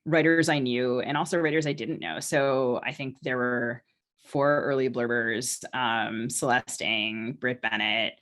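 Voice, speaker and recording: A woman's voice: rumbling low, fairly even intonation, measured pace, a bit bright. A woman in a casual podcast conversation, speaking as a novelist and co-host in a hesitant, circling way with many qualifications.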